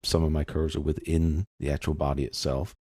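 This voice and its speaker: A man giving an informal step-by-step software tutorial, explaining casually as he thinks aloud. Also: very deep voice, level tone, a little fast, dry recording, clean studio sound.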